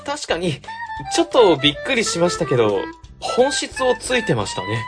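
A woman's voice: lowish voice, huge pitch swings, moderate pace, over music. A woman playing a timid, deferential junior office worker with hesitant polite speech in a web radio drama. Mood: agreeable.

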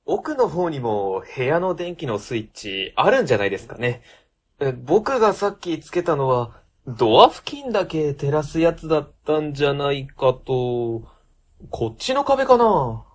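A man playing an animated, slightly husky young office rookie. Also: on the high side, normal speed. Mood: puzzled. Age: young adult. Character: earnest, polite young male rookie civil servant. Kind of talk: comedic web radio drama acting.

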